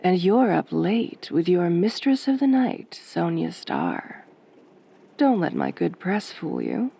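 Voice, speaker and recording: A woman playing a sultry, teasing late-night radio DJ in a soft-spoken roleplay, with a velvety voice and a flirty, wry drawl. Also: on the low side, huge pitch swings, takes it slowly, vocal fry, breathy edge, heavily acted. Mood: sultry, playful.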